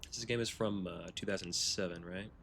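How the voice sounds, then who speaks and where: A man's voice: deep voice, fairly even intonation, normal speed, gravelly, fairly bright tone, a little hiss or hum. A man livestreaming a video game with casual, laid-back, offhand commentary.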